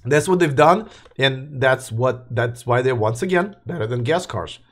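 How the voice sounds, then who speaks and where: A man hosting a live electric car news stream, chatting casually with viewers while his voice is going hoarse and he keeps coughing. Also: mid-range voice, lively intonation, normal speed, slight background noise. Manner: rambling, restarts, addresses live chat.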